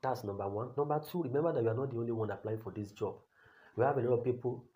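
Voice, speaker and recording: A man's voice: mid-range voice, very fast, dark tone. A Nigerian man with a Nigerian accent giving a blunt, repetitive tutorial as an online career coach. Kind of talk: talking-head tutorial.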